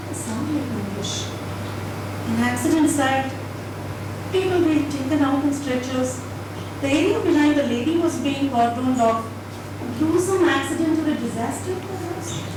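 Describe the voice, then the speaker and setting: Distant, very echoey, noisy recording: female story reader, high voice, expressive dramatic narration. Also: normal speed. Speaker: reader at a dramatised book reading. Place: indoor event hall at a live reading.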